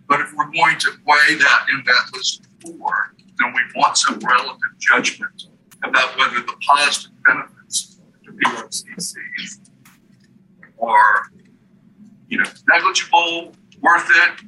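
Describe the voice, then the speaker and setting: Sharp, distant man questioning from the audience. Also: mid-range voice. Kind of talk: informal academic questioning. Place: seminar room, away from mic. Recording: distant mic, faint room noise.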